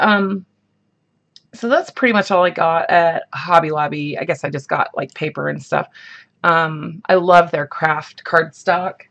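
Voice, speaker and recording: A woman talks in a relaxed, rambling way, full of ums and likes. Her voice is husky from the morning, with a gravelly fry underneath. She sounds like a casual crafter showing her haul. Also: fairly low pitch, slight background noise. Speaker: craft vlogger. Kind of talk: casual show-and-tell chat.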